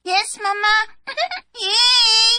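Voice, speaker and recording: A woman playing an excitable, picky little girl in a radio drama, with a childlike, whiny delivery. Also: extremely high pitch, extremely slow, no echo, heavily acted. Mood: excited.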